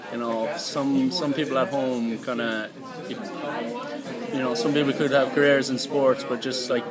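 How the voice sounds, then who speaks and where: A young man with an Irish accent, a student answering casually and stumbling through many restarts. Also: mid-range voice. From Ireland. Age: young adult. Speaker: international student interviewee. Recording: outdoors with loud crowd around.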